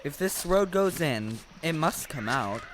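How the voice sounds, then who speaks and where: An actor playing a friendly, earnest scarecrow in a stage audio play, with deliberate theatrical phrasing. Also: medium pitch, lively intonation, natural voice. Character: living scarecrow, friendly, helpful, earnest companion. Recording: live stage recording with faint noise.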